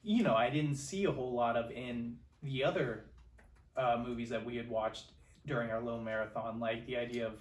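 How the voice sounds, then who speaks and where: A male podcast co-host chats about films in a loose, halting, informal way. His voice is mid-pitched. The room adds clear echo, and he sounds slightly away from the mic. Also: fairly even intonation. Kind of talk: casual film discussion. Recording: roomy echo, distant microphone.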